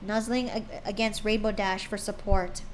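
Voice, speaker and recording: A woman's voice: mid-range voice, little pitch movement, moderate pace, slight background noise, rough recording. A woman streamer reading fan fiction aloud live, casually stumbling and correcting herself.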